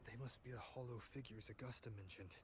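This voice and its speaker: A man playing a kind, focused young hero in a video game, with an earnest, measured delivery. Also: medium pitch, heavy background noise, natural voice. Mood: focused, puzzled. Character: young male hero, gentle, focused, kind to his companion. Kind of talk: video game character acting.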